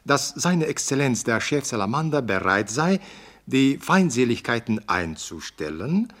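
An actor playing a formal, emphatic radio newsreader reporting on a conference in a radio play. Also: male voice, medium pitch, very animated, unhurried, fairly bright tone. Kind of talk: scripted news bulletin within radio play.

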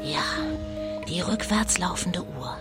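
A woman's voice: fairly low pitch, expressive. Dramatic lady reporter talking into her mic for a kids ghost audio play, music behind. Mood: suspenseful reporting. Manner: dramatic, deliberate. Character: sensation-hungry female local newspaper reporter, breathless, dramatic. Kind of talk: radio play acting as live on-the-spot report.

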